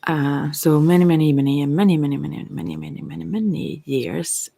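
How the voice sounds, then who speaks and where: A middle-aged woman with a Swedish accent, speaking softly and intimately in an unscripted, reflective ASMR monologue that rambles and trails off. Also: low voice, steady tone, moderate pace, vocal fry. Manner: rambling, trailing off mid-thought. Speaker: ASMR creator and tarot reader.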